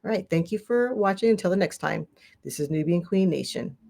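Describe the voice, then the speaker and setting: A woman's voice: fairly low pitch, lively intonation, fairly quick, creaky, dark tone. A woman in her sixties, a guest author, chatting in a friendly, enthusiastic way on a live stream. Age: 60s. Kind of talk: casual live-stream chat.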